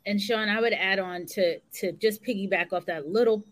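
A woman hosting a live chat, talking in a lively, friendly way and laughing easily. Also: medium pitch, moderate pace. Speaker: host. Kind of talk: casual live stream chat.

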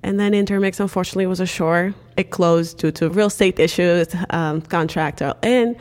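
A woman's voice: on the low side, fairly quick. Woman telling her story. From Cape Verde. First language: Cape Verdean Creole. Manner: animated storytelling, frequent "like" fillers. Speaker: guest. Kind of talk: casual interview chat.

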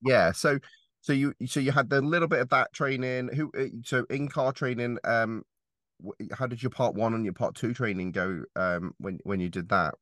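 A man's voice: mid-range voice, moderate pace, a bit bright, dry recording, clean studio sound. A man hosting an interview podcast, chatty and hesitant, with lots of fillers and restarts. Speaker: podcast host, driving instructor trainer. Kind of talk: casual interview chat.

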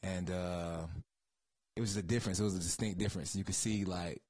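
A man's voice: low-pitched, fairly even intonation, brisk, darkish tone, dry recording. A man from Georgia with an Atlanta Southern accent, an interview guest telling rambling stories in casual, slangy, profane talk. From Georgia, USA, Atlanta area. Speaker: guest, music creative.

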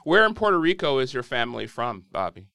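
Gravelly, bright-voiced male interviewer. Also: medium pitch, sing-song, quick pace. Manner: brief back-channel replies. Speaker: host. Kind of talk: casual interview.

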